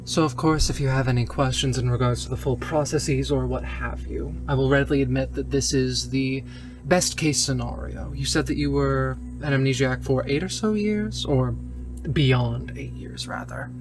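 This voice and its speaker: A man's voice: mid-range voice, normal speed, music in the background, natural voice. A man voicing a polite, eager memory expert in a tabletop game, speaking in a formal, clinical and articulate way. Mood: courteous, curious.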